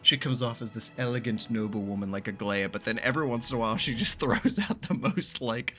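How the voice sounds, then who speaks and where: A man's voice: mid-range voice, a little fast, fairly bright tone. A man giving casual, informal reaction commentary as he plays a game, with joking, sweary phrasing. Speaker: gaming streamer reacting to a story quest. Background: game music.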